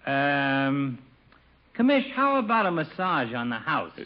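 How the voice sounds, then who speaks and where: An actor playing a chummy, pushy small-town barber in an old radio comedy, slangy, breezy and cajoling. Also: male voice, on the high side, big pitch swings, slow pace, full character voice. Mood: coaxing. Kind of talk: 1940s-50s radio comedy acting. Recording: dull vintage radio broadcast recording.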